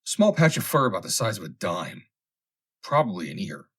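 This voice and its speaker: A man reading a vintage humorous story aloud as scripted narration, with dramatic, expressive delivery. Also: deep voice, a little fast, breathy edge. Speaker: audiobook reader.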